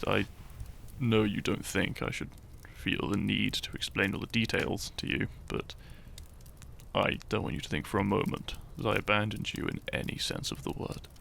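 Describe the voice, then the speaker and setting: A man playing a shy young farmer turned hero in an intimate romantic audio roleplay, tender and teasing, speaking softly and haltingly. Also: lowish voice, creaky, slight background noise. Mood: wistful, earnest. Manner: soft, hesitant, halting, sighing and chuckling.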